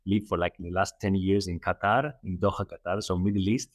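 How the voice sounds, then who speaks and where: A middle-aged man from Greece with a Greek accent, a coach answering interview questions earnestly, often repeating himself and pausing on fillers. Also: low-pitched, fairly even intonation, dry recording, clean studio sound. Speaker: guest, holistic coach.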